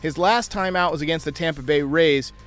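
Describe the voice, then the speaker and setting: Man, sports show host, slightly high voice, baseball preview commentary, soft music behind. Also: a little fast. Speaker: host of a baseball fan channel.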